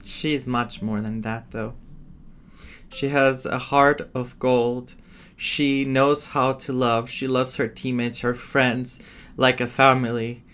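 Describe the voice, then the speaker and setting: A woman with a very low voice gives casual game commentary as a streamer. She talks slowly, with faint music behind her. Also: fairly even intonation, fairly bright tone, clear, resonant tone.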